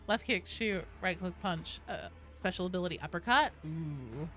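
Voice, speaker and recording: A woman's voice: lowish voice, animated, normal speed, a bit bright. A woman game streamer chatting casually and answering viewers, opinionated and enthusiastic, with casual swearing. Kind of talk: casual livestream chat reading and answering viewers.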